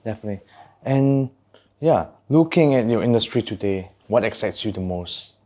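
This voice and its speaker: Man, slightly low voice, podcast host, casual interviewing, short agreeing interjections. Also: expressive, moderate pace, warm tone.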